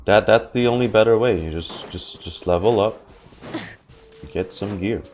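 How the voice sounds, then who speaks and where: A man's voice: on the low side, moderate pace, a little mellow. A man giving casual live commentary as a game streamer, rambling and repeating his words and phrases. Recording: game music behind the voice.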